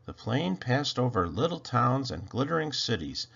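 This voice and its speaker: A man reading a children's storybook aloud as narrator, with expressive, deliberate and dramatic delivery. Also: mid-range voice, vocal fry, breathy edge, highs cut off. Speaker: storybook narrator, channel host.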